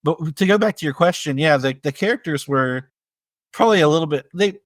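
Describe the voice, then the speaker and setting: A man co-hosting a casual film discussion, speaking with measured, tentative phrasing. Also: on the high side, animated, no room sound, studio quality. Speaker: podcast co-host.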